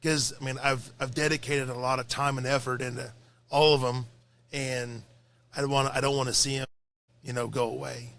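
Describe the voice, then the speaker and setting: A man's voice: mid-range voice, flat delivery, fairly quick, gravelly, fairly bright tone. A tired man with a slightly crackly voice, speaking earnestly and reflectively as a guest in a casual podcast conversation. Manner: earnest, reflective, repeats phrases.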